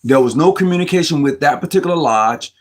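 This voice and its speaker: A man's voice: a little high, lively intonation, fast talker. A man hosting a casual discussion about Freemasonry, talking emphatically and often restarting his words as he argues. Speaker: host.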